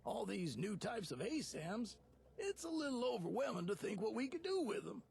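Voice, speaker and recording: Very gravelly, folksy settler. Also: male voice, high-pitched, sing-song, normal speed, a little mellow, heavily acted. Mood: earnest, grateful. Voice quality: gravelly, rough. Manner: earnest, folksy. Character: grateful, folksy male settler in a post-apocalyptic game.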